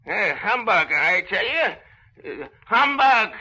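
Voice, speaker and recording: An actor in a 1930s radio drama playing a frightened, miserly old man, stubborn and querulous, stammering and blustering in a raspy, cracked voice on an old recording. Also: sits high, very animated, slow, pushed and bright, exaggerated voice. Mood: blustering, dismissive.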